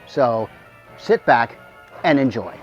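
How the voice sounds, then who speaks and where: A man's voice: medium pitch, lively intonation, slow, soft background music. A man hosting with scripted, conversational narration addressed to the audience, recorded a little dull. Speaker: host introducing a radio comedy recreation.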